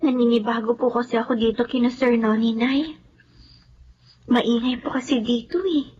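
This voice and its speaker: An actress playing a caring, respectful young student daughter in a Filipino radio drama, polite and earnest. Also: female voice, a little high, steady tone, normal speed, a little mellow, dull audio, a little hiss or hum, natural voice. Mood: uneasy.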